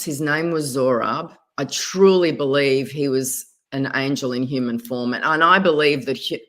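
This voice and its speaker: A woman with an Australian accent speaks as an interview guest, her voice sitting notably low. She tells her story in a hesitant way, often pausing and restarting her words. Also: fairly bright tone. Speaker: guest, spiritual advisor.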